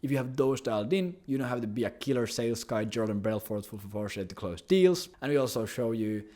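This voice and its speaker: A young man from Finland with a Finnish accent, a native Finnish speaker, giving a blunt, confident sales pitch for his business coaching program and swearing casually. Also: expressive, brisk, warm tone.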